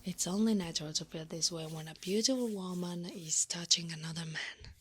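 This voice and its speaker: A woman's voice: on the low side, natural voice. A mature centaur woman, acted by a female ASMR performer murmurs and whispers. She is flirty, soothing and protective, speaking close and intimately in roleplay style. A light layer of ambient noise sits behind her. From Italy. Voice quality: soft, breathy, often whispered. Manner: intimate, teasing, soothing, small halting pauses. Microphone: close ASMR microphone.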